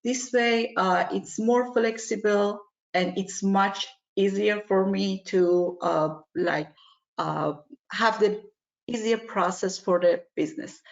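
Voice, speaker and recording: Slow, halting woman from Iran. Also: mid-range voice, gravelly. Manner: halting, many fillers, non-native phrasing. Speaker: panelist. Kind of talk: webinar panel answer. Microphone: video call. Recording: muffled online audio.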